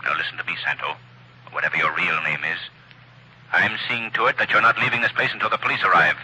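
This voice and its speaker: An invisible crime-fighter interrogates with measured, menacing calm. His voice is low and husky but bright on top. It sounds like a vintage radio thriller. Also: heavily acted, poor audio. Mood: commanding. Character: mysterious invisible male crime-fighter, cool and commanding. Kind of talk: 1940s radio acting.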